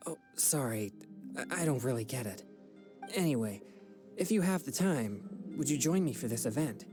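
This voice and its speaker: A man's voice: mid-range voice, animated, moderate pace, music in the background, close to an everyday voice. An actor playing a polite, earnest young male exorcist in a video game, with a formal, courteous manner.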